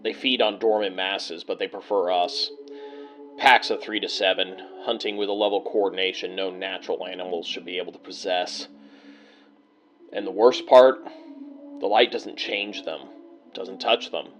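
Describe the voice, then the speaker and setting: An actor playing a grim, worn-down male bunker survivor recording a personal log in an audio drama, speaking in terse, measured, bleak phrases with halting pauses. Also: sits low, steady tone, fairly bright tone, soft background music. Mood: grim, resigned.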